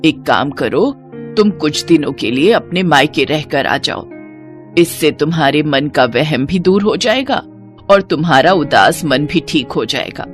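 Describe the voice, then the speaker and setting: A woman playing an older, caring mother-in-law in a cartoon family drama, advising in a measured manner. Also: sits low, animated, faint music behind. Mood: reassuring.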